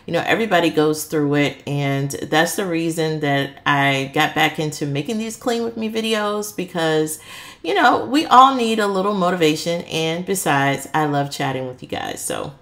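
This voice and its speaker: A woman's voice: sits low, normal speed, fairly bright tone. A middle-aged woman giving a casual, chatty vlog voice-over, candid and friendly as she talks straight to listeners. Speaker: vlogger.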